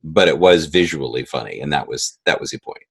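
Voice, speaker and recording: A man's voice: rumbling low, animated, a little fast, vocal fry, slightly rough. A man talking casually as a guest in an interview, telling stories about his creative work.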